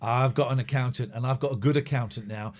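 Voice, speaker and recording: A man's voice: medium pitch, quick pace. A man hosting a late-night call-in radio show, talking casually and swearing a little. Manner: animated, chatty, swearing. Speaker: radio host.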